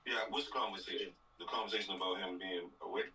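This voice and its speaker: A man's voice: deep voice, fast talker, pushed and bright. A man making casual interjections in a group video call. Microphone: video call microphone. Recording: distant, noisy video-call audio.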